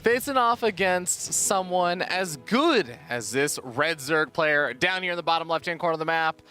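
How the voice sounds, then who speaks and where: A man's voice: on the high side, animated, moderate pace, sharp tone, clean tone, faint music behind. A man doing casual live esports commentary with joking banter between points.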